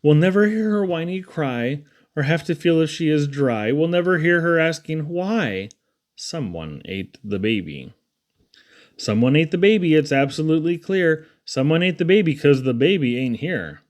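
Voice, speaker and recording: A man's voice: a little high, lively intonation, normal speed. A man reading humorous children's poems aloud in a theatrical, playful storytelling manner. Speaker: poetry reader.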